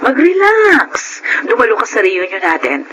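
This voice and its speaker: A woman's voice: a little high, unhurried, noisy background. A woman playing Jonah, a supportive friend who is firm and cheerful in a radio drama. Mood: encouraging. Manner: encouraging, lively. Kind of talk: Filipino radio drama acting.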